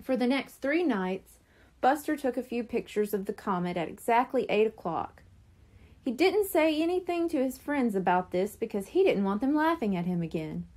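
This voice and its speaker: A woman reading a children's chapter book aloud as narrator, expressive and clearly phrased. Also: on the high side, normal speed. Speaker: storybook reader.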